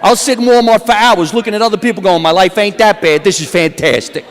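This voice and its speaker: A stand-up comedian with a New Jersey accent, delivering a deadpan wisecracking set onstage. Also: male voice, high voice, quick pace. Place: comedy awards stage. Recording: long hall reverb from a stage.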